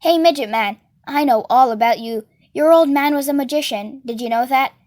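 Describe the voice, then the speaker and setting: Woman reading as a high-voiced, spiteful, brash woman. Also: normal speed, darkish tone, no echo, clean studio sound, lightly acted. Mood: taunting, spiteful. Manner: taunting, slangy, needling. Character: brash, mocking, spiteful woman, goading and gossipy.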